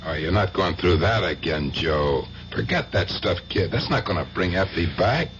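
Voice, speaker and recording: An actor in a radio drama playing a worried, streetwise older uncle, gruff and familiar. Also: male voice, low-pitched, animated, normal speed, a bit bright. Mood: worried, coaxing. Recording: dull, very noisy old radio recording.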